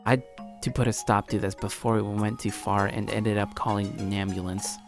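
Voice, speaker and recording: A man's voice: flat delivery, brisk. Man reading a visual novel over music. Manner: stumbles and rereads phrases. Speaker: livestreamer doing a let's play. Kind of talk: reading visual novel narration aloud, with casual asides. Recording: low-quality stream audio, music behind.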